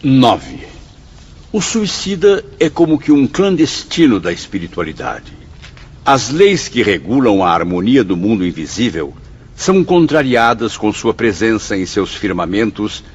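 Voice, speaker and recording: A man's voice: mid-range voice, big pitch swings, measured pace, breathy edge. A Brazilian actor playing a devout, solemn man in a radio drama, exhorting in an emphatic preacherly way. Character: devout male spirit exhorting his brothers, solemn. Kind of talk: radio drama acting, declaimed recitation. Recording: dull lo-fi audio.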